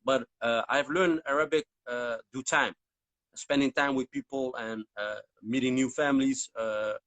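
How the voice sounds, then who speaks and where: A man's voice: medium pitch, fairly even intonation, moderate pace, a bit bright, no room sound. A man, a Berber speaker, interviewing casually and rambling with lots of repeated words. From Netherlands.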